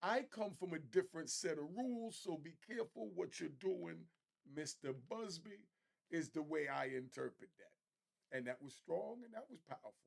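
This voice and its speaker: A man with a slightly high voice and noticeable vocal fry hosts a casual commentary show. He speaks in conversational street slang and gives opinionated takes. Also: lively intonation, moderate pace. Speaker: host of an online commentary show.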